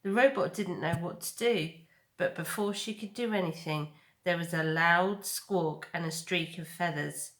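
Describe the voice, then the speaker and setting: A woman reading children's fiction aloud as a deliberate, expressive storyteller. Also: fairly low pitch. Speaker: storybook reader. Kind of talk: dramatic read-aloud narration of children's fiction. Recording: echoey room.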